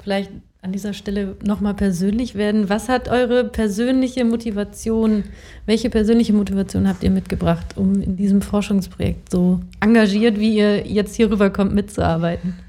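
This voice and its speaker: A woman with a mellow, dark tone and medium pitch hosts an interview. She asks her questions conversationally. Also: fairly even intonation, normal speed. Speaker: interview moderator.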